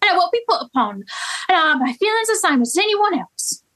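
A woman voicing a defiant young Cockney flower girl, protesting in indignant rapid outbursts with a put-on Cockney accent. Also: extremely high pitch, sing-song, a bit bright, voice pushed up, heavily acted, low-quality recording.

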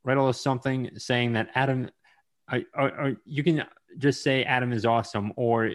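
Man, podcast host, halting, lots of fillers, casual, mid-pitched. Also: fairly even intonation. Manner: halting, with many ums and uhs. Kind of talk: casual interview chat.

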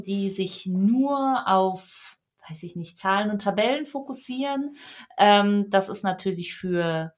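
Woman co-hosting a podcast, mid-pitched voice. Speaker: podcast co-host. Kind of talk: casual podcast discussion.

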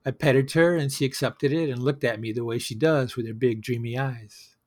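A man's voice: medium pitch, fairly even intonation, normal speed. Reflective man telling stories as a host. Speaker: host of a solo storytelling show. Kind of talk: personal storytelling monologue.

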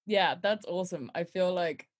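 Encouraging woman interviewer, lowish voice. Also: normal speed, no room sound, clean studio sound. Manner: encouraging, affirming responses. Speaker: interviewer host. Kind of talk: conversational interview.

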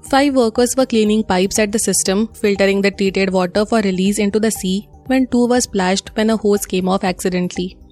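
A woman's voice: mid-range voice, darkish tone. A woman with an Indian accent presents a scripted news bulletin.

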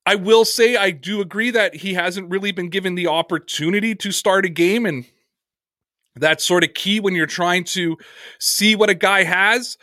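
A man's voice: high voice, fairly bright tone, no room sound, clean studio sound. A man hosting a sports podcast, answering viewer comments in an earnest, conversational way.

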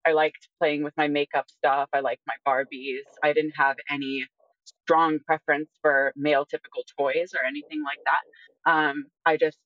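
A woman's voice: sits low, little pitch movement, moderate pace, dry recording. Young woman, slightly dull lo-fi audio. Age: early 20s. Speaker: interview guest. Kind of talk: reflective personal account in conversation.

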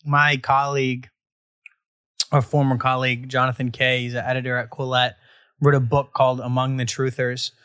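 Bright-voiced joking young man. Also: medium pitch, level tone, normal speed, smooth, no room sound, clean studio sound. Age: 20s. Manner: joking, rambling, lots of fillers. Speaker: podcast host. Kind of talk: casual conversational interview.

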